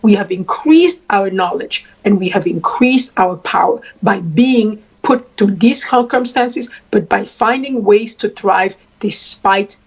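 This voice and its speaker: A woman from Congo, a traditional queen, speaking at length in a deliberate way and repeating phrases for emphasis. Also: medium pitch, slightly rough. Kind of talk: spoken address. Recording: dull lo-fi sound.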